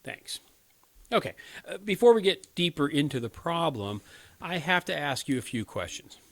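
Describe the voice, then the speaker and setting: An actor playing an earnest, inexperienced young crisis counselor in a radio play, hesitant and pleading. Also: male voice, fairly high pitch, big pitch swings, moderate pace, a bit bright. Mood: careful, concerned. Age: 20s.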